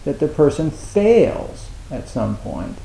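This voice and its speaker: A man giving a dharma talk as a Zen teacher, speaking haltingly with many restarts and a wry, self-mocking humor. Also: on the high side, very animated, vocal fry, warm tone, reverberant, rough recording. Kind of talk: dharma talk at a meditation retreat.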